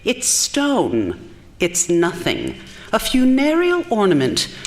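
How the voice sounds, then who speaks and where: Animated, genteel society wife played by an actress. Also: female voice, medium pitch, takes it slowly. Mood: indignant. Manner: theatrical, polite then indignant. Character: genteel, proud society wife, flustered and sharp-tongued. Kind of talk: live stage audio drama acting. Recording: live theatre with faint noise.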